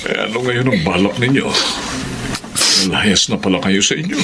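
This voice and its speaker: A man's voice: on the low side, expressive, normal speed, lightly acted. A man playing a kindly, generous uncle in a Filipino radio drama. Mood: concerned. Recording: noisy radio drama recording.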